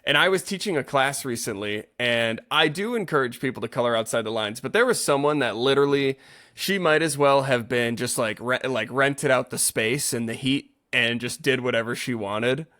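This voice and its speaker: A man's voice: medium pitch, moderate pace, pushed and bright. Earnest man chatting on a casual podcast. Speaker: yoga teacher and podcast co-host. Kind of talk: casual conversational podcast chat.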